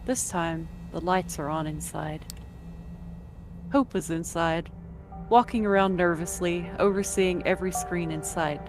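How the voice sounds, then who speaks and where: Female narrator, scripted story reading, fairly low voice, visual novel, clear background music. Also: measured pace, a little mellow.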